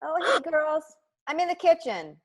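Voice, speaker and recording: A woman's voice: sits high, sing-song, moderate pace. A woman playing a friendly, attentive suburban mother in a sitcom table read. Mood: cheerful, curious. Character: friendly, attentive suburban mom of a teenage girl.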